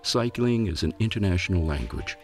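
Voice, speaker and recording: A man narrating a travel documentary in a measured, reflective scripted delivery. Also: low voice, animated. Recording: narration over loud music.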